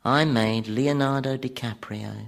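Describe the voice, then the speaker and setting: A weary, sardonic ruler of hell in a radio comedy. He drawls slowly and dryly, full of vanity, on a very noisy recording. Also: mid-range voice, fairly even intonation, gravelly. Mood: wry, boastful. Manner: dry, world-weary, sardonic. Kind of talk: radio sitcom acting.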